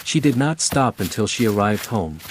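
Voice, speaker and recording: A man's voice: lowish voice, lively intonation, normal speed, a little hiss or hum. Mexican-accented man reading a horror tale. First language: Spanish. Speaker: narrator. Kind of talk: horror story narration. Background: faint music.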